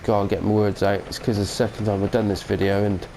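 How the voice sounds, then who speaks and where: A man's voice: on the low side, level tone, fairly quick, dark tone, slight background noise, poor audio. A British man with a cold, giving a chatty, rambling mod review of a farming game and correcting himself as he goes. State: has a cold. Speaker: gaming channel host. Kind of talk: casual mod review commentary.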